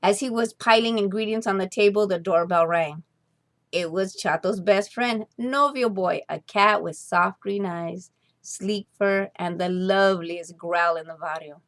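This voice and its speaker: Woman, medium pitch, children's storybook narration, expressive, deliberate, drawn-out emphasis. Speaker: storybook reader.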